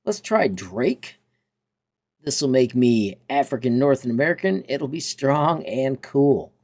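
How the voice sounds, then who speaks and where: A man narrating a casual software tutorial as host, in an upbeat, enthusiastic manner. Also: mid-range voice, animated, slow.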